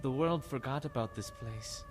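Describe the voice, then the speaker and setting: A man plays a young prince of ancient Egypt in an audio drama. He is loyal and speaks with calm confidence, at an average pitch for a man, with faint music underneath. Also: normal speed, natural voice. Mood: explaining.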